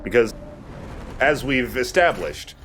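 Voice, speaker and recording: A man's voice: mid-range voice, slow pace. A man, a young adult, hosting a Let's Play with casual, rambling, jokey game commentary. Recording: clear steady background noise.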